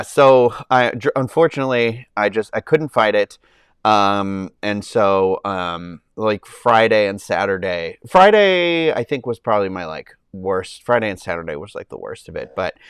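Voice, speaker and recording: A man hosts a relaxed live stream from home, talking in a nasal, congested voice from a summer cold. He rambles with frequent ums and restarts while answering his chat. His voice is smooth and clear, recorded dry and close on a clip-on mic. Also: lowish voice, no room sound. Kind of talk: casual chat with live chat viewers. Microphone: clip-on mic under his shirt.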